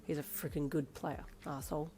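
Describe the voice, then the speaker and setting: A woman voicing a gruff, grumpy young male athlete who answers in low, clipped replies. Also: little pitch movement, brisk, mellow.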